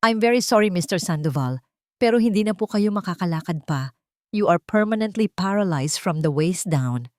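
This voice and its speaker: A woman voicing a sympathetic, formal doctor in an audio drama, speaking politely and gravely. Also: fairly low pitch, animated, normal speed, a little mellow, no room sound, clean studio sound, close to an everyday voice. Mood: regretful. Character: sympathetic, formal male doctor breaking bad news.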